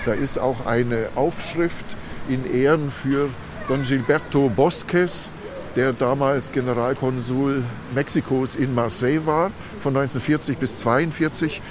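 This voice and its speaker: A man's voice: mid-range voice, a little slow. A man guiding a city tour outdoors, explaining as he goes. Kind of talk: explanatory guided tour talk. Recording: noisy outdoor street recording.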